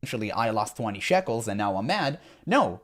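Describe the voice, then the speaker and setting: A man's voice: fairly low pitch, big pitch swings, a bit bright. A man, not a native English speaker, talking earnestly and carefully in a played video monologue. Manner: earnest, careful, slightly halting.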